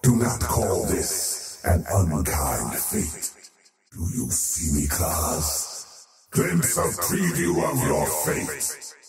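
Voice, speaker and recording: A man voicing a faceless void creature in a fantasy video game, a cold, arrogant oathbreaker with a grandiose, menacing, deliberate delivery. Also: rumbling low, sing-song, echoey room, distant mic, faint music behind, exaggerated voice. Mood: gloating, menacing. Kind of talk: scripted fantasy video game character lines.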